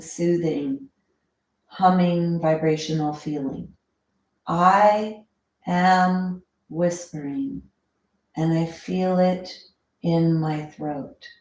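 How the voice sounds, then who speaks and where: Woman doing guided breathwork, really slow n calm, soothing, lots of pauses, super echoey room. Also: lowish voice. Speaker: breathwork or meditation instructor.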